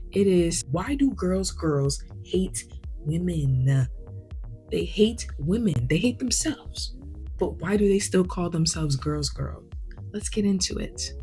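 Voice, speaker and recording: A woman's voice: fairly low pitch, mellow. A woman with a New York accent chatting candidly and at length while doing her makeup in her glam room at home. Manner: verbose, bougie, candid. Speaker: vlogger.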